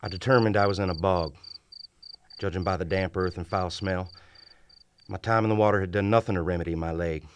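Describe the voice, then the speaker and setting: A man performs a haunted Civil War lieutenant in an old-time radio horror drama. His low voice tells the tale gravely and deliberately, in formal journal language, with music clearly behind him. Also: dry recording, close to an everyday voice. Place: small radio studio. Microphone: vintage-style studio microphone at close range.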